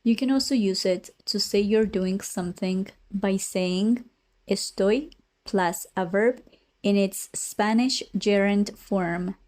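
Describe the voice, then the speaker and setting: A woman teaching a language lesson in a scripted, careful way, saying each example phrase and then repeating it more slowly. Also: mid-range voice, darkish tone.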